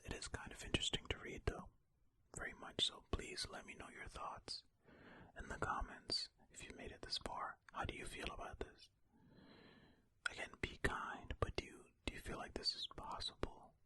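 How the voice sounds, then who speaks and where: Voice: very high-pitched, bright, forceful tone. An ASMR host whispering ear to ear in a tentative, musing way, reading and commenting close to the microphone. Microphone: close stereo ASMR microphone.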